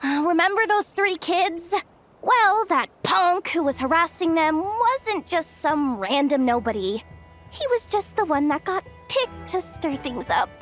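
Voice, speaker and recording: A brash young manager with a very high, animated voice. She chatters in slangy, cajoling game dialogue, with faint music underneath. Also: exaggerated voice. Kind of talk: video game voice acting.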